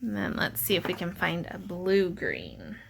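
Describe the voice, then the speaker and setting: A woman's voice: fairly low pitch, huge pitch swings, unhurried, gravelly, a little hiss or hum. A woman teacher talking children through an art activity step by step, in simple explanatory language. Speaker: teacher leading a drawing lesson. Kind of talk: step-by-step instructional narration for children.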